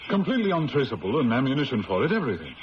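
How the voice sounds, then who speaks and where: An actor playing a nervous, stammering male assistant in a 1970s radio drama, his pitch jumping up when he is scared. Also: wildly swooping pitch, racing. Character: nervous male assistant, jittery, easily cornered. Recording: lo-fi old radio broadcast with hiss.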